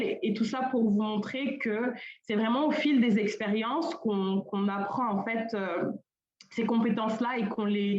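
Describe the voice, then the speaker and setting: A woman's voice: medium pitch, a little mellow. Young woman speaking hesitantly over a roomy call. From Senegal. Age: young adult. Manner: hesitant, with many fillers and restarts. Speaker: colloquium panelist. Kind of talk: informal conference talk. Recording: roomy, dull lo-fi call audio.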